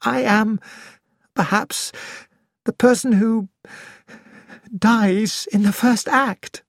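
A reader voicing a frightened young woman who keeps up a brittle, brave front, speaking quickly and shakily. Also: mid-range voice, expressive, dry recording, clean studio sound, voice pushed up. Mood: anxious.

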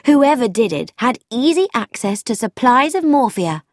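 Woman doing an eager young woman sleuth, high, emphatic, lively. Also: normal speed, no echo, clean studio sound. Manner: excited, emphatic, higher-voiced.

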